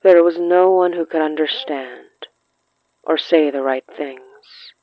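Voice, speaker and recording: A narrator telling a scripted literary horror story in a measured way, with dramatic pauses between short phrases. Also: mid-range voice, no room sound, highs cut off. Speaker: narrator of a horror fiction podcast.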